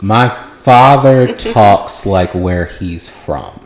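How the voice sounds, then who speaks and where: A man from Georgia, a guest on a podcast, speaking in casual chat in a deliberate, measured and careful way. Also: fairly low pitch, animated, reverberant.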